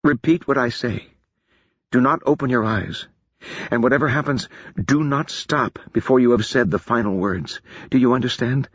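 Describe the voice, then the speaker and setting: A man voicing a grave, earnest female wizard who speaks slowly and deliberately. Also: medium pitch, husky, no room sound, pitched above the performer's own voice, close to an everyday voice. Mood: solemn. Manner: slow, solemn, deliberate. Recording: dull lo-fi recording.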